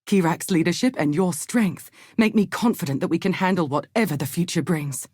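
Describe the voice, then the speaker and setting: An actress voicing a thoughtful, cautious, scholarly exile in a video game, speaking in a measured, articulate and earnest way. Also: female voice, lowish voice, darkish tone, no echo, studio quality, lightly acted. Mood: earnest, hopeful. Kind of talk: scripted video game character dialogue.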